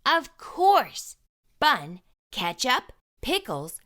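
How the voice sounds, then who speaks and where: A woman reading a storybook aloud to young children, with expressive, emphatic delivery and deliberate phrasing. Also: high voice.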